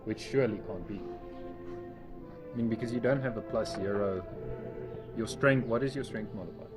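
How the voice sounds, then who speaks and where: A man's voice: on the low side, normal speed. Man, game master over music. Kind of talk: casual tabletop game narration and rulings. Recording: background music under game talk.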